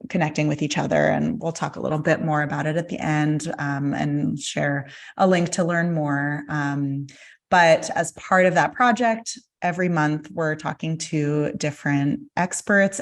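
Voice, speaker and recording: A woman with a notably low-pitched voice hosts a webinar interview over a video call. She speaks gently and encouragingly, with small hesitations. She often murmurs soft "mm"s of agreement. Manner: gentle, affirming, many soft "mm" responses. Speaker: host, licensed midwife. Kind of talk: interview host chat.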